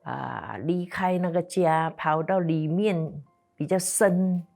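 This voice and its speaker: An older Singaporean woman speaks at an unhurried, slow pace. She tells a personal recollection in a fairly low voice, with music playing underneath. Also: gravelly, darkish tone. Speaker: interviewee.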